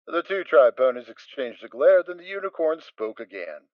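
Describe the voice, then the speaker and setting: A man reads a story aloud with wry, expressive narration. His pitch rises and falls in lively swings. The home mic sounds dull and completely dry. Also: normal speed, no echo. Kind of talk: dramatic reading of fan fiction.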